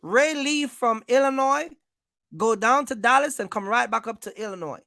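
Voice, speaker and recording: American livestream coach giving straight advice, gravelly edge, punchy bright tone, repeats stuff to drive it home, really dry sound. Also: mid-range voice, moderate pace, dry recording. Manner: emphatic, repetitive, checks in with listeners. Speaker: trucking business coach and livestream host. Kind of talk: informal livestream advice talk.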